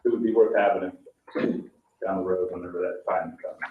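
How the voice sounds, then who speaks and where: A man's voice: low-pitched, steady tone, moderate pace. A man taking part in an informal discussion at a board meeting. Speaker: meeting participant. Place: indoor public meeting room. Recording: dull, echoey, distant, faint noise.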